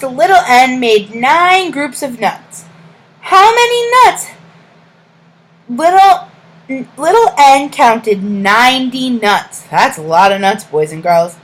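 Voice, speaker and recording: A woman with a high voice reads a picture book to young children like a teacher. Her pitch swings widely in a sing-song pattern, and she takes her time over each phrase. She often turns to the children with questions and encouragement. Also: a bit bright, low-quality recording. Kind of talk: read-aloud storytelling with questions to young children.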